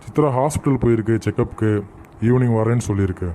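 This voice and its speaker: A man playing a kindly father and uncle in an animated kids' story. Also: mid-range voice, quick pace, a little hiss or hum, natural voice.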